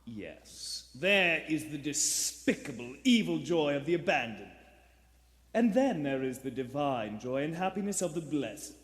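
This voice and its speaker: In a reverberant theater hall, a menacing, pious male lodger in an old radio play. His voice is pitched high and swings widely, yet he speaks slowly and sanctimoniously, ready to flare into indignation. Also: big pitch swings, a bit bright. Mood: eerily pious. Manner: slow, sanctimonious, erupting into indignation. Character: menacing, pious, secretive male lodger preaching against pleasure. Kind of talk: live vintage radio play acting. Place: theater stage before a live audience.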